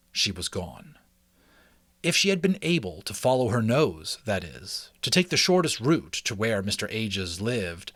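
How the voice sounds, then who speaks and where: A man narrating a children's novel as a dramatic, expressive audiobook reading. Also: fairly low pitch, no room sound. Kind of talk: dramatic children's book reading with chatty asides.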